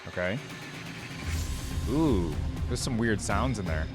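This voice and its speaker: Low-voiced man reacting casually over music. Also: expressive, slow. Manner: informal, thinking out loud. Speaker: reaction video host. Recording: music behind the voice. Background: game cinematic and music playback.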